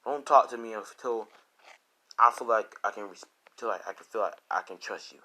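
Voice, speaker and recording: A man's voice: lowish voice, normal speed, sharp tone. A man voicing an exasperated, distrustful young boyfriend in a fan story, blunt, incredulous and profane. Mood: cold, fed up.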